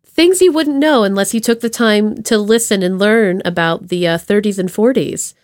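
A woman's voice: mid-range voice, moderate pace. A woman hosting a podcast in casual conversation, playful and self-deprecating. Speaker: podcast host. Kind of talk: casual interview chat.

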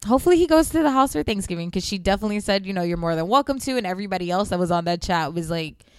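A woman's voice: medium pitch, animated, very fast, clean tone, dry recording, clean studio sound. A woman co-hosting a chat show, telling anecdotes in a casual conversational style. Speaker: podcast co-host.

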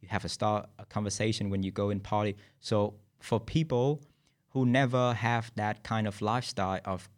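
A man's voice: on the low side, fairly even intonation, normal speed. A young man hosting a podcast, a non-native English speaker chatting casually, often restarting his sentences and laughing through his stories. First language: not English. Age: young adult. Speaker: podcast host. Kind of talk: casual chat.

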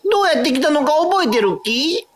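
An actor voicing a small, kindly, childlike monkey in an audio drama, cute and excitable, with a squeaky verbal tic. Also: brisk, noisy background. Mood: concerned.

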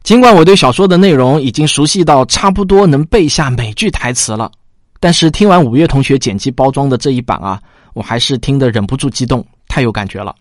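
A man's voice: mid-range voice, animated, brisk, no echo, studio quality. A man presenting as a show host, talking to listeners in a conversational, orderly way. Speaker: host and producer.

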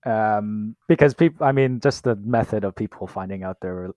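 A man's voice: on the low side, moderate pace, mellow, dry recording. A young man co-hosting a podcast in casual chat, frank and skeptical, with fillers and restarts. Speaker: podcast co-host.

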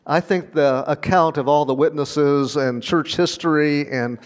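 A man's voice: a little high, measured pace, big-room sound. A man preaching a sermon in an earnest, conversational way, with small laughs as he talks. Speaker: pastor.

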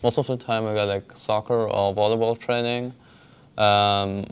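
Flat-voiced young man. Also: fairly low pitch, measured pace, clear, resonant tone. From Germany. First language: German. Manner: hesitant, many fillers. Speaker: guest student. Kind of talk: casual interview answers.

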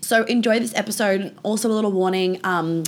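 A woman with a husky, hoarse voice from laryngitis, hosting a podcast in a casual, candid chat and swearing freely. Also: medium pitch, brisk. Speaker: podcast host and life coach. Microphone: video call.

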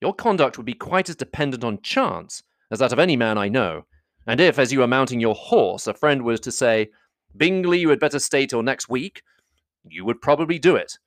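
A reader as a proud, reserved gentleman. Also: medium pitch, expressive, no room sound, clean studio sound, close to an everyday voice. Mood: critical. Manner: measured, curt, formal. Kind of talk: classic novel audiobook dialogue.

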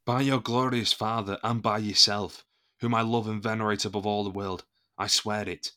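A man's voice: fairly low pitch, level tone, moderate pace, pushed and bright. A man playing a proud, loyal captain of the musketeers in a period audio drama, speaking formally and with indignant emphasis. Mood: solemn, firm.

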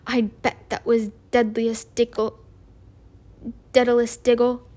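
Woman doing a strict snappy witch teacher, high and loud, impatient. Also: little pitch movement, slow pace. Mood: irritable. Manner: clipped, sharp, impatient.

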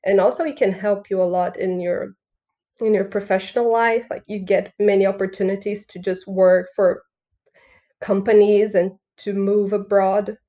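A woman's voice: mid-range voice, steady tone, normal speed, warm tone. Young woman, slightly muffled audio. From Brazil. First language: Portuguese. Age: young adult. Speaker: podcast guest, student. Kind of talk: casual chat.

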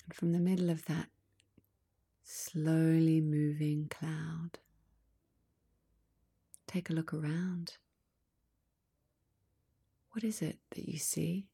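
Slow, hushed, husky woman guiding meditation. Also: deep voice. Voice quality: soft, breathy, husky, near-whisper. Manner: calm, unhurried, long pauses between short phrases. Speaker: guided meditation leader.

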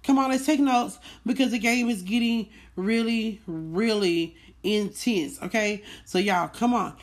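A woman's voice: mid-range voice, unhurried. A woman hosting a podcast, talking in a casual, informal way and repeating phrases for emphasis. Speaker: podcast host. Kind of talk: casual chatty monologue.

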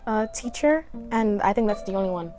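A teenage girl, a Creole speaker, talks as a student guest on a podcast. Her pitch is average and she answers in a casual, conversational way. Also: lively intonation, fairly quick, darkish tone, soft background music. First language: Haitian Creole.

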